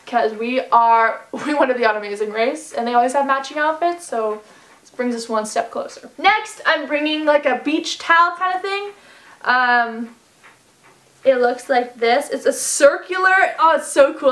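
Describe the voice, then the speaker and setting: A woman's voice: high-pitched, normal speed, fairly bright tone. A woman in her twenties chatting through a casual packing vlog in a living room, upbeat and full of "like"s and "um"s. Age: 20s. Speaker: vlogger. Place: carpeted living room by a fireplace, indoors.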